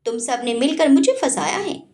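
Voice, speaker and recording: Woman voicing a bitter villainous male politician, high-pitched. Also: expressive, moderate pace, darkish tone. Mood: accusing. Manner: snarling through gritted teeth, resentful.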